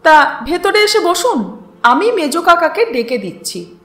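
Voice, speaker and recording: A woman plays a proud young schoolboy in a storybook reading. The voice is high, animated and curious, forward but polite. It has roomy echo around it. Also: measured pace, heavily acted. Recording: roomy echo with music underneath.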